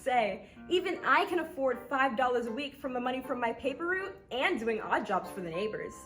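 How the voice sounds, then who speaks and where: A woman's voice: high voice, lively intonation, brisk, fairly bright tone, soft background music. A woman playing a cocky, eager little paperboy in an old-time radio advertisement. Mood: cheeky, eager.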